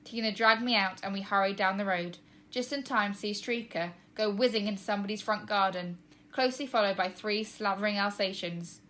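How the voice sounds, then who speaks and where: A woman narrating a children's storybook as a teacher reading aloud, with expressive, emphatic storytelling. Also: medium pitch, a little fast.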